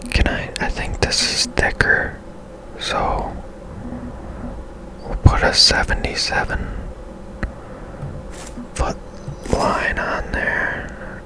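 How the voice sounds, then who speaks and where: Casting note: whispering ASMR gamer, breathy and very unhurried, thinking aloud in a tentative way. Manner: unhurried, musing to self, tentative. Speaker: gaming ASMR video creator. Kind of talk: whispered ASMR game commentary.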